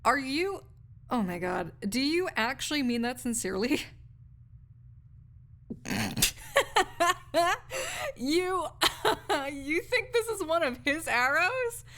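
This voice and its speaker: A woman's voice: high-pitched, big pitch swings, slow pace, pauses often, a bit bright, heavily acted. Woman acting a wry, cynical research assistant. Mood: incredulous, amused. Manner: wry, articulate, lecturing with casual asides. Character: snarky, cynical female university research assistant, nerdy and skeptical. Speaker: voice actor in audio roleplay. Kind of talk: intimate conversational audio roleplay acting.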